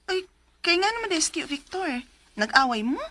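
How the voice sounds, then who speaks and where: An actress with a Cebuano accent playing a troubled young village mother in a radio drama, earnest and expressive. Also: very high-pitched, moderate pace, slight background noise, heavily acted. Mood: concerned, questioning. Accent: Cebuano Filipino. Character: troubled 21-year-old village mother telling her story. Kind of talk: radio drama acting and letter narration.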